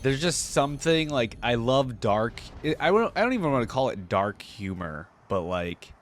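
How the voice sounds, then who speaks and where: Animated man doing casual reaction commentary. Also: mid-range voice, normal speed, a little hiss or hum. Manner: enthusiastic, informal. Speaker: reaction video host.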